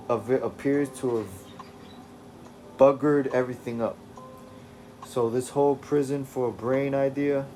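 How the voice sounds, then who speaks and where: Man reading as a sardonic young male psychic with a head full of trouble, slow and wry. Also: mid-range voice, a little hiss or hum, natural voice. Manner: wry and sardonic, sprinkled with British slang.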